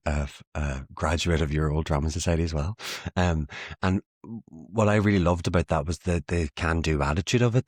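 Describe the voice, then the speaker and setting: Deep-voiced chatty young Irish man. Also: a little mellow, dry recording, clean studio sound. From Ireland. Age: 20s. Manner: chatty, self-mocking, laughs often. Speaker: theatre maker guest. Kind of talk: casual interview chat.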